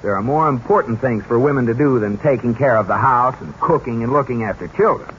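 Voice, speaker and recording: An actor playing a bossy, exasperated American banker husband in a 1940s radio sitcom, scolding and emphatic. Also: male voice, mid-range voice, a little fast, close to an everyday voice. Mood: lecturing. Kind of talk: 1940s radio comedy acting. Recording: muffled, noisy old radio recording.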